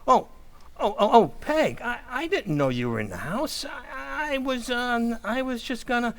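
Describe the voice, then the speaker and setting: A woman's voice: a little high, very animated, a little slow. A woman playing a bumbling, panicky working-class American husband, stammering and blustering in a 1940s radio comedy style. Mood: flustered, panicky.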